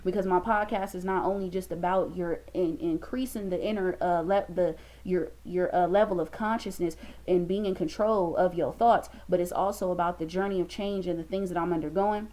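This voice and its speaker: A woman's voice: fairly low pitch, steady tone, normal speed, few pauses, dark tone. Woman, 20s, motivational vlogger; emphatic, repetitive preaching into a laptop cam at home. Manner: emphatic, preachy, repeats for stress. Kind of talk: motivational talk to camera. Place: small room indoors.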